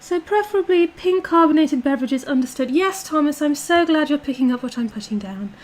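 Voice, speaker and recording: An imperious British duchess played by a woman, with a very high, girlish pitch. She is pompous and condescending, handing out orders to her stream audience as if to servants, and leaning hard on words for emphasis. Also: animated, brisk. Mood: disdainful. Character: haughty, bossy aristocratic dominant girlfriend calling herself Duchess. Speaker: livestreamer playing a character for viewers. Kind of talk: in-character roleplay livestream, reading and answering chat.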